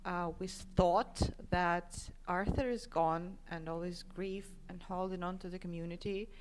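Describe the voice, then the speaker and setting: A woman listener leaving a heartfelt, earnest and halting personal voice message. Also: fairly low pitch, steady tone. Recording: faint noise and clicks.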